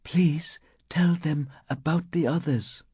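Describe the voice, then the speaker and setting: A man's voice: mid-range voice, big pitch swings, normal speed, slightly rough, no room sound. A man voicing a frail, haunted woman medium in an audiobook, pleading and trembling. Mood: faint, haunted.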